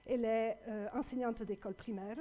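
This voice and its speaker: A woman chairs a panel discussion before an audience in a public hall. Her voice sits a little high and sounds mellow and warm. She circles her thoughts with frequent hesitations and restarts. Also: fairly even intonation, fairly quick. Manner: hesitant, many fillers and restarts. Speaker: debate moderator. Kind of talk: panel moderation.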